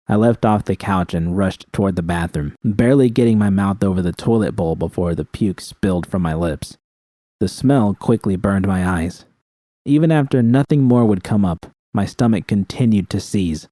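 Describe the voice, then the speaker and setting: Male narrator, low pitch, dark mellow tone, first-person fiction, scripted reading, close dry sound. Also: normal speed, dry recording. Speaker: audiobook narrator.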